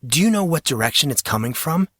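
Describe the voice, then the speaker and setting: A man's voice: a little high, sing-song, fast talker, a bit bright, dry recording, studio quality, voice pushed up. A man voicing a blunt, anxious young hero of a fantasy audiobook, impatient and full of questions. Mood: anxious, demanding.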